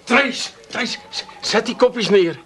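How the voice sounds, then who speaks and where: A man's voice: very high voice, slow, heavy background noise. An actor playing a flustered, smitten man in a comic radio play, stammering and repeating words. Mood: smitten, excited. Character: flustered, smitten brother, boyishly excited, eager to please.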